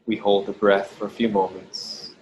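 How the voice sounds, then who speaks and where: A man with a fairly low voice guides a meditation over noticeable background noise. He speaks slowly and deliberately in short phrases with pauses between them. His delivery is gentle and instructional. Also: flat delivery, poor audio. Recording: clearly audible background noise.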